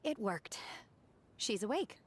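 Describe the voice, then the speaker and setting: A woman playing a composed, literal-minded young woman in a video game, formal and deadpan, with music behind. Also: mid-range voice, takes it slowly, a little hiss or hum. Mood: calm, matter-of-fact. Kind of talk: video game dialogue acting.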